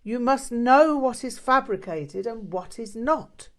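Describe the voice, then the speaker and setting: A woman's voice: fairly high pitch, expressive, takes it slowly, pitched above the performer's own voice. A woman voicing a stern, probing old male Buddhist master in an audiobook, with blunt, emphatic short sentences. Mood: sternly scolding. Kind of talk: audiobook character dialogue.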